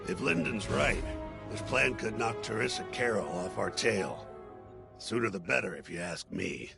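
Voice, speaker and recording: An actor playing a shrewd, patient alien veteran in a space video game, talking in an unhurried, deliberate way. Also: male voice, lowish voice, animated, creaky, over music. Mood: calm, wry.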